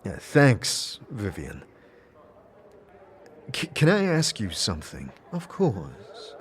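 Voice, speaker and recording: A scarred wanderer, played by a man with a lowish voice who speaks mildly and stammers now and then. His tone moves lively between notes, in a fan audio drama. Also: slow, slight background noise, heavily acted. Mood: hesitant. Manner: hesitant, stammering, mild. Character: scarred amnesiac man, hesitant and polite.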